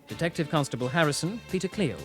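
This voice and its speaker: A man's voice: a little high, expressive, fast talker, soft background music. A man reading scripted cast credits as the announcer of a radio drama.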